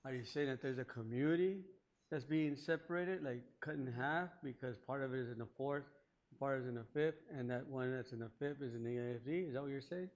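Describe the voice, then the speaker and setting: A man's voice: medium pitch, fairly quick, poor audio. A man on a county board asking questions and urging caution, explaining points at length at a public meeting. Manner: cautionary, explanatory, thinking aloud. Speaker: board member.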